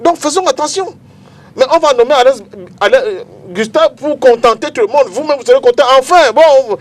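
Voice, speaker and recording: A man's voice: extremely high pitch, brisk. A Cameroonian-accented man debating politics as a panel guest, speaking emphatically and repeating phrases for effect.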